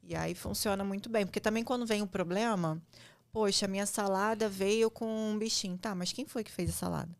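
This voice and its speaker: A woman's voice: medium pitch, a little fast, creaky. A woman hosting a food podcast, talking in a conversational way and explaining with everyday examples. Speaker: podcast host. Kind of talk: casual podcast interview.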